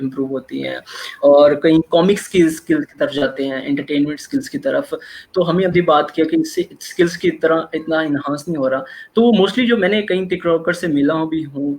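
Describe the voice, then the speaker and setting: A man's voice: a little high, rough recording. A man with a Pakistani Urdu accent hosting an online discussion over a video call, talking hesitantly with many fillers and restarts. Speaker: host.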